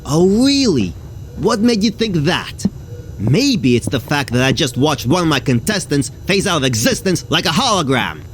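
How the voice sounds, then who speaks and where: A man's voice: a little high, very animated, moderate pace, a little hiss or hum, rough recording. A man playing an exasperated, anxious host of a nightmare game show in an audio drama, sarcastic and showy. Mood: indignant.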